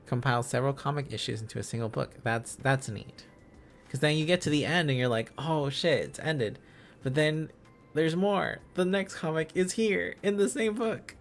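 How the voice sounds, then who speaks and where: A man chatting casually as a livestream host, musing aloud in halting, repeated phrases. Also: on the high side, gravelly, soft background music. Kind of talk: casual livestream chat while learning a language.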